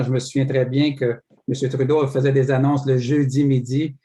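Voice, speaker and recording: A man's voice: mid-range voice, darkish tone. A man, moderating a debate and posing prepared questions emphatically, with filler words and small stumbles.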